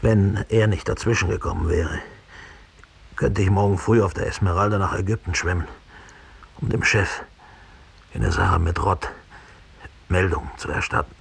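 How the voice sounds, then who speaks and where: Middle-aged male murderer, 1960s radio drama: deep, creaky voice, cold and bitter, deliberate brooding delivery. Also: heavily acted. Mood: bitter, weary. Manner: slow, deliberate, brooding storytelling. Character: cold, embittered middle-aged German killer confessing his murder. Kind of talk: 1960s German radio play monologue.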